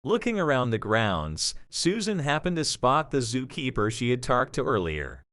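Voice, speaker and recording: A male narrator reads a scripted story. His intonation moves expressively around a medium pitch. The recording is dry, with very little room sound. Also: very animated, normal speed, a bit bright, no echo.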